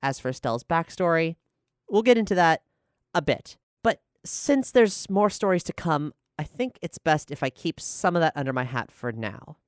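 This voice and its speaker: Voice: mid-range voice, expressive, moderate pace, smooth, dry recording, studio quality. An enthusiastic, articulate podcast host talking conversationally through a question-and-answer show. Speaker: showrunner and host.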